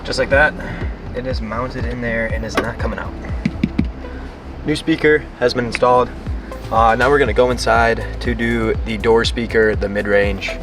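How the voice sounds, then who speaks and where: A man's voice: medium pitch, fairly even intonation, moderate pace, over loud music. A man hosting a DIY car tutorial, giving plain, informal step-by-step instructions in a casual how-to style.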